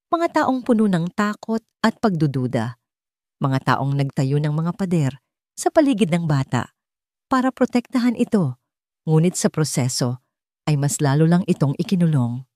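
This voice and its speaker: A woman narrating a dramatic story from a script in a measured storytelling manner. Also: fairly low pitch, very animated, a little mellow, no echo, studio quality.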